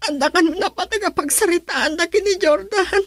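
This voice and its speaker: A woman's voice: very high voice, fairly quick, fairly bright tone, no echo, clean studio sound. A woman playing a tender, anxious young pregnant wife in an Ilocano radio drama, with a Filipino accent. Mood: pained, panicked.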